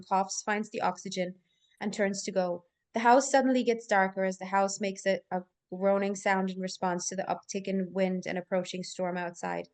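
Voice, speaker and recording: A woman reading scripted stage directions as narrator at a live table read, in full descriptive sentences. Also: medium pitch.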